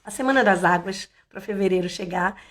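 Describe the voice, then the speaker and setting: A woman from Salvador, hoarse, hosting a casual tarot live stream in a chatty, meandering way with many fillers and restarts. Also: medium pitch, a little fast, fairly bright tone. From Salvador, Bahia, Brazil.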